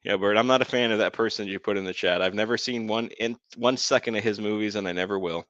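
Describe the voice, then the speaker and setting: Low-voiced chatty game streamer. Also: male voice, fairly even intonation, fast talker, a bit bright, no echo. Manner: chatty, laughs often, talks to chat. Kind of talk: casual live-stream commentary. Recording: dull lo-fi dry sound.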